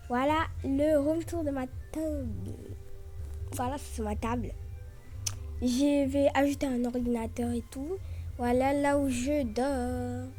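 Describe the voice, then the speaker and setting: A woman's voice: high-pitched, mellow. A young woman with a French accent giving a casual room tour, chatty and filling her talk with "genre" and "voilà". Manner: chatty, filler-heavy with frequent "genre" and "voilà". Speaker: vlogger.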